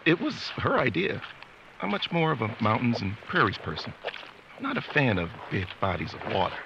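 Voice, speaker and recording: A man's voice: medium pitch, wildly swooping pitch, moderate pace, creaky, lo-fi sound, noisy background. A man playing an easygoing young guide in a supernatural audio drama, talking casually and explaining things. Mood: casual, teasing. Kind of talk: audio drama acting, conversational.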